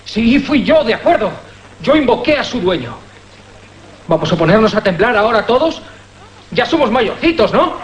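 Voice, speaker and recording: A man's voice: very high-pitched, sing-song, normal speed, long pauses. A man playing an insistent, defiant young student in a radio drama, arguing emphatically. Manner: argumentative, emphatic. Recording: dull, slightly noisy radio drama recording.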